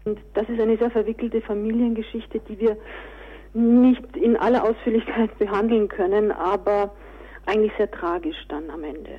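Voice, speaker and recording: A woman's voice: medium pitch, steady tone, moderate pace, slightly rough, phone-quality sound. A woman answering interview questions conversationally as an author and director, on a thin radio recording.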